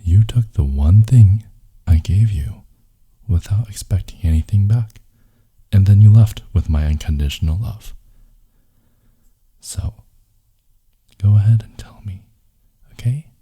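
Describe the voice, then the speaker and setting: A man playing a grumpy, possessive, wounded boyfriend in an intimate ASMR roleplay, breathy and intense, often dropping into a whisper. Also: on the low side, mellow. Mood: hurt, accusing. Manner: slow, intense, teasing murmur with dramatic pauses. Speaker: audio roleplay voice actor.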